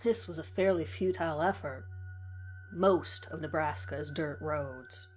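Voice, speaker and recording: A woman's voice: low-pitched, measured pace. A woman reading a scripted horror story in the first person as its narrator. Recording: music behind the voice. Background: background music.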